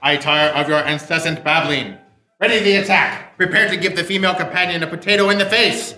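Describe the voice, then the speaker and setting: A man's voice: on the high side, brisk, bright, forceful tone. A man playing a pompous, impatient villain giving menacing commands in a live radio-style comedy sketch. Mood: menacing, fed up. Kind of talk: live old-time radio comedy acting. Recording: roomy live stage recording.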